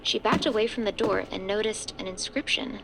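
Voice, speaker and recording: A woman's voice: medium pitch, brisk, background noise, natural voice. A woman reading scripted narration for a horror audio drama. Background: background music.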